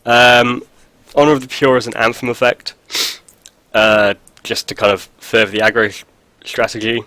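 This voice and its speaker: A man with a head cold, his voice stuffy and sniffly, rambles through a casual card-game walkthrough. He talks at an everyday pitch, peppering his talk with ums and dry asides. The recording is dry and close, with little room sound. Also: little pitch movement, normal speed, sharp tone, no echo, low-quality recording. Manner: rambling, filler-heavy, dry jokes. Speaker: video host. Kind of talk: casual gameplay commentary.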